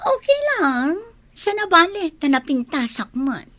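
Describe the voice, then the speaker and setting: A woman's voice: high-pitched, sing-song, unhurried, a bit bright, full character voice. A woman playing a vain, pert young village beauty with an Ilocano accent in a comedy radio drama. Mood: defiant, breezy.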